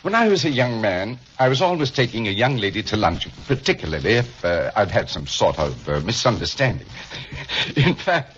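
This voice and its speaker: A man's voice: fairly low pitch, big pitch swings, normal speed, a bit bright, slight background noise. An actor playing a kindly, teasing older male dean in a 1940s radio drama, with a genial, chuckling manner. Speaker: dean and counselor. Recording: dull lo-fi 1940s radio recording.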